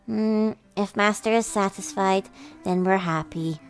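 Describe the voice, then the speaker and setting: A woman voicing a cool, quietly affectionate young cat girl with soft, smooth, understated delivery. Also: mid-range voice, steady tone, unhurried, soft background music, natural voice, poor audio. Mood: calm, teasing. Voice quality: soft, subdued.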